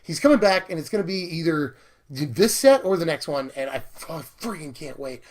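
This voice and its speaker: Hoarse, excited young man talking cards. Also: a little high, fairly quick. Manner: enthusiastic, talkative, fillers and laughs. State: hoarse, losing his voice. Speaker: card game video host. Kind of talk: excited casual commentary on new cards.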